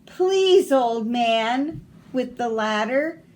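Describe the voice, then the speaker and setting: A breathless little boy in a storybook, pleading over and over in a high, swooping voice, as a woman reading aloud puts him on. He sounds frantic and earnest, his pitch leaping about as he begs. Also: lively intonation, extremely slow, darkish tone. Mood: urgent pleading.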